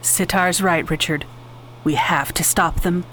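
A woman's voice: fairly low pitch, fairly even intonation, measured pace, a bit bright, slight background noise, rough recording. A woman playing a compassionate, concerned heroine in a space opera radio drama, with emotional delivery. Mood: anxious, concerned.

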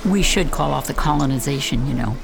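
An actress playing a blunt, weary, principled scientist in a science fiction radio drama. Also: female voice, very deep voice, brisk, creaky, music in the background. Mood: resigned. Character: principled, weary, pessimistic woman scientist at an alien colony.

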